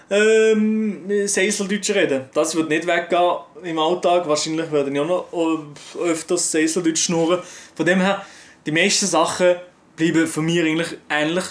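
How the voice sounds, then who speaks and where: Young Swiss man, casual and slangy. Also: high voice. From Switzerland. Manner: loose, slangy, many fillers and restarts. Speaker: YouTuber. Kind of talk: casual chat reading viewer comments.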